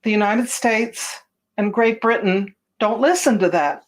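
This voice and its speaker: Female interpreter, a bit high-pitched, measured voice-over read of a conference address. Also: rough recording. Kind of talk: voice-over translation of a conference speech.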